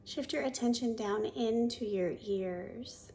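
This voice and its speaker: Woman, yoga teacher, guided meditation, soft and breathy, slow pacing, gentle instruction, background ambience. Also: a little high, slight background noise. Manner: soothing, unhurried, gently instructive.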